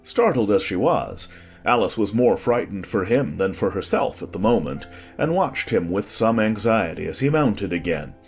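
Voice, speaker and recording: A man with a husky voice reading a scripted story as an expressive audiobook narrator. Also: lowish voice, normal speed. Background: faint music.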